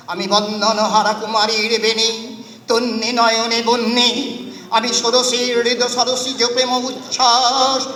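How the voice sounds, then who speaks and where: A man's voice: extremely high pitch, pushed and bright. A man reciting poetry in a dramatic, declamatory style, with emphatic, incantatory delivery in short phrases. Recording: echoey hall reverb, distant voice.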